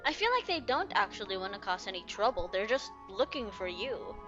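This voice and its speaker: A woman's voice: mid-range voice, big pitch swings, brisk. A woman giving casual, playful, gushing reaction commentary as she plays a game. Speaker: gaming video creator. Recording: dull lo-fi mic, faint game music.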